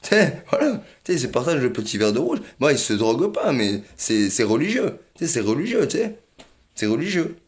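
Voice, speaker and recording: A man talks on in a loose, wandering monologue, circling back to the same phrases again and again. He fills his pauses with hesitations and breaks into small chuckles as he goes. His voice sits at an ordinary middle pitch. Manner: rambling and repetitive, many fillers and chuckles. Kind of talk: rambling monologue.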